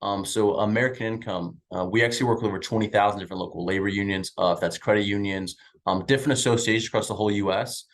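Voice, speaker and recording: A young man in his twenties works a sales call, rattling along at a quick clip with lots of um and uh. His voice is fairly low, his manner upbeat and chatty. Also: fairly even intonation. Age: 20s. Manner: upbeat, chatty, filler-heavy. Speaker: insurance sales agent. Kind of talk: sales call small talk. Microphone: video call.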